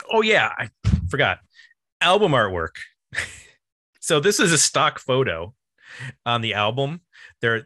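A man's voice: lowish voice, pushed and bright, no echo, studio quality. A man hosting a podcast, talking casually about music in a rambling, enthusiastic way. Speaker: podcast host.

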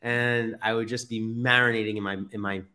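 A man's voice: lowish voice, little pitch movement, a little fast, sharp tone. A man speaking casually and conversationally as a podcast host. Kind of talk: casual conversational interviewing and storytelling.

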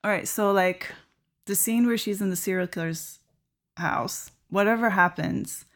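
A woman's voice: medium pitch, measured pace, a bit bright. A woman on a casual film podcast, thoughtful and a little hesitant.

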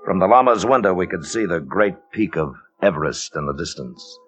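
A determined expedition leader in a mid-century radio adventure. His voice is very deep. He speaks plainly and decisively, like someone used to being in charge. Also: expressive, fairly bright tone, soft background music. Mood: dramatic narration. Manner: brisk, decisive, plain-spoken. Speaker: radio actor and narrator. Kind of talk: 1940s-50s radio drama acting and narration.

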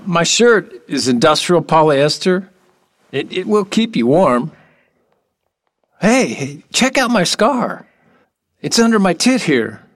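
A man acting in an audio drama as an odd, playful, lonely middle-aged workman, whimsical and halting, with earnest oddball candor. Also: fairly high pitch, sing-song. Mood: quirky, candid.

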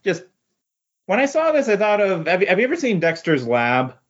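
A man chatting casually as a podcast host, with a halting, hesitant delivery. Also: high-pitched, wildly swooping pitch. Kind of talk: casual chat. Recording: muffled lo-fi audio.

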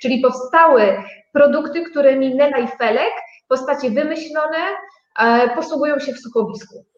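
Female interview guest, fairly high voice, explanatory, roomy slightly dull sound. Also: normal speed. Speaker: guest, start-up co-owner. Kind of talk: interview answer about own project.

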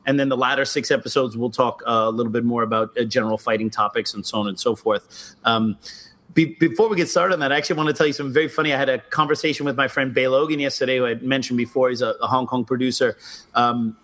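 A man co-hosting a casual martial arts podcast, explaining his teaching approach in a measured way. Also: mid-range voice, fairly even intonation, a bit bright. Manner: explanatory, measured, mildly critical. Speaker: co-host, martial arts instructor.

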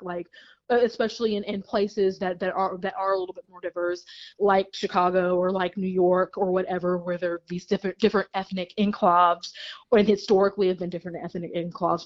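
A woman's voice: mid-range voice, muffled recording. A woman guest in a conversational interview, explaining at length with many restarts and repeated words. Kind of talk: explaining history in casual conversation.

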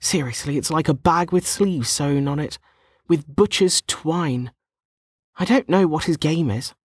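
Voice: medium pitch, a little slow, slightly rough, dry recording, studio quality, voice pushed up. A narrator voicing a flustered, indignant young woman who protests bluntly, in an audiobook. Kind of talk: audiobook character dialogue.

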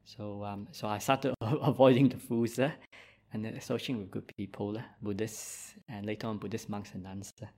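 A man with a Malaysian accent, a Buddhist monk giving an informal dharma talk in a gentle, halting way, with many "uh"s and "lah"s. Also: fairly low pitch, fairly even intonation, a little hiss or hum.

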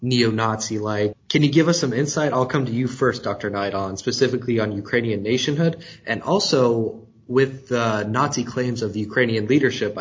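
A man's voice: on the low side, breathy edge, muffled recording. A man moderating a panel discussion, putting long, winding questions to the guests.

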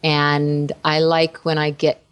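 A woman's voice: deep voice, completely flat delivery, takes it slowly. A woman voice actress chatting playfully in a casual interview, laughing often. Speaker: voice actress, guest.

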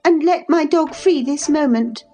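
A haughty, tearful young girl, acted by a woman narrator in a storybook reading. She issues orders in a raised, bright voice and drags out her words slowly. Soft music plays faintly behind. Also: big pitch swings. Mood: indignant. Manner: haughty, commanding, high and pleading. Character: spoiled indignant young girl, imperious and tearful.

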